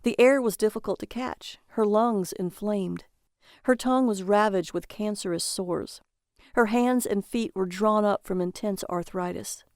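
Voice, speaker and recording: A woman's voice: mid-range voice, a little slow, a little mellow, dry recording, clean studio sound. A woman reading a scripted short story as the narrator of audio fiction.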